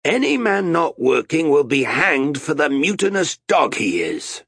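A man's voice: a little high, huge pitch swings, slow pace, gravelly, fairly bright tone, slightly rough, dry recording, studio quality, voice pushed up, heavily acted. A man voicing a bold, blustery, threatening sea captain in an audiobook, with an emphatic theatrical delivery. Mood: menacing. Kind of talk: audiobook character acting.

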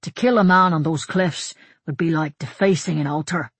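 Storybook monk, male voiced by a narrator: soft-spoken, grave and reassuring, quietly sure of himself, dry close recording. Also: medium pitch, normal speed, slightly rough, no room sound. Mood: grave, certain. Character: gentle, probing monk, grave and quietly certain man. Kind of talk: audiobook character dialogue.

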